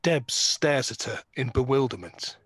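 Male narrator for audio theatre: medium pitch, rough-edged husky tone, scripted stage directions, very dry recording. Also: lively intonation, measured pace, fairly bright tone, no echo.

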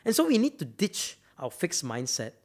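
A man's voice: fairly high pitch, wildly swooping pitch, normal speed, a little mellow. A man from Malaysia giving a motivational talk, emphatic and often repeating words as he builds up to a point. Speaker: speaker.

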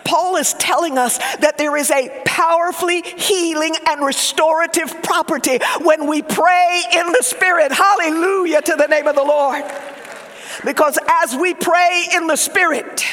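A fervent Southern pastor, a man with a very high, bright voice that rings out sharply. He preaches with swings from shouts down to hushed whispers, and a long hall reverb trails his words. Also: fairly even intonation, measured pace. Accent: Southern US (Oklahoma). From Oklahoma, USA. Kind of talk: sermon.

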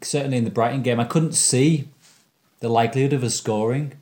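A man's voice: medium pitch, steady tone, a little fast, a little mellow. A man on a fan podcast, talking through a football match in an earnest, analytical way. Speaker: podcast co-host. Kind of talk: casual fan podcast discussion.

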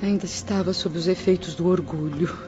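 An actress playing the sorrowful spirit of an old mother in a radio drama, mournful, solemn and drawn-out. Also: fairly low pitch, fairly even intonation, dark tone, natural voice. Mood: tormented. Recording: dull radio drama recording with music.

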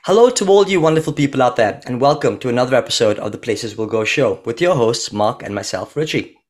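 A man's voice: mid-range voice, expressive, quick pace. A man hosting a podcast remotely, chatty and enthusiastic, with plenty of "um" fillers. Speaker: podcast host.

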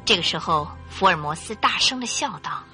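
Bright, animated woman radio drama narrator. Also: on the low side, brisk, soft background music.

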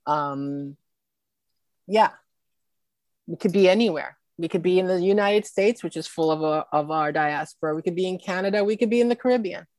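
A woman speaking with a low, close, dry sound. She answers interview questions earnestly and expressively, and she often ends her points with a quick "right?". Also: darkish tone, no echo. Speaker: film festival organizer.

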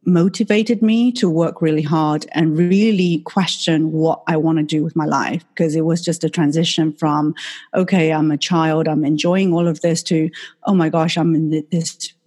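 A woman's voice: sits low, steady tone, moderate pace, darkish tone. A middle-aged woman from Taiwan, a native Mandarin speaker, talking as a doctor guest on a podcast in a friendly, reflective way with fillers. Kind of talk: casual podcast interview.

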